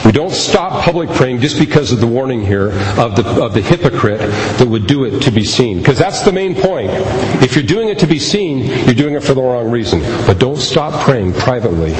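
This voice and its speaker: A man preaching a sermon as pastor, with a loud voice and emphatic, repeated phrases. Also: mid-range voice, expressive, moderate pace. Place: church sanctuary. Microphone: amplified through a sound system. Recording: echoey hall with background noise.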